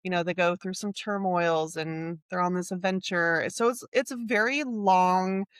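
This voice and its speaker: A woman co-hosting a casual book podcast, talking in a rambling way full of fillers and quick laughs. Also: on the low side, clean tone, no echo, clean studio sound. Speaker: podcast co-host.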